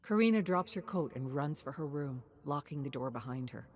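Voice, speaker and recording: A woman reading a scripted story in a measured, expressive, dramatic way, sometimes dropping to a hush. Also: sits low. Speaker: narrator of game lore stories.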